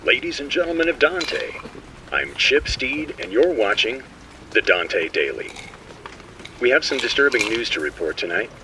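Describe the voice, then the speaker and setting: A man's voice: medium pitch, very animated, normal speed, distant mic, background noise, natural voice. An actor playing a showy local TV news anchorman reading a formal bulletin with grandiose emphasis. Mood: polished, grave. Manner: grandiose, emphatic announcer delivery.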